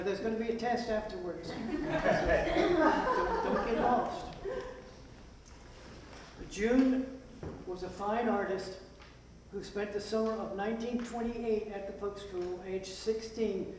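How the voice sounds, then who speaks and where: An older man giving an informal, humorous introductory speech to an audience as a playwright. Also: sits high. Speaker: playwright introducing his play. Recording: echoey hall from far off, hiss.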